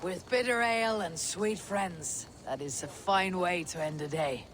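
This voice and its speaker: An actor playing a steady, wry young Viking warrior in a video game, with a measured, slightly formal manner. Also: male voice, high-pitched, slight background noise, poor audio. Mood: wry, merry. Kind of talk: dramatic video game acting.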